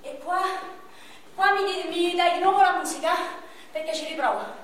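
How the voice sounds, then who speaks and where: Battered, bitter young man, played by an actress; shouts crude curses; distant, roomy stage sound. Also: very high voice, moderate pace. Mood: furious. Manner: shouting, crude, furious outbursts. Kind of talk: stage monologue. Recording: echoey stage from far off, noisy.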